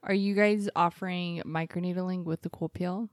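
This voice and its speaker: A woman's voice: fairly low pitch, fairly even intonation, moderate pace, dry recording, clean studio sound. A young woman co-hosting a podcast in upbeat, bubbly casual chat. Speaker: podcast co-host.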